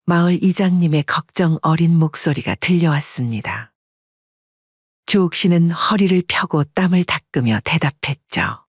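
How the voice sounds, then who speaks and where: A man narrating a family story in calm, measured audiobook style. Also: fairly high pitch, husky, no room sound, muffled recording.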